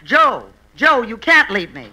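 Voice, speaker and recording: A woman's voice: on the high side, big pitch swings, unhurried, bright, forceful tone, exaggerated voice. A woman playing a possessive, theatrical faded film star in an old American radio comedy. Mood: frantic. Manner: theatrical, pleading.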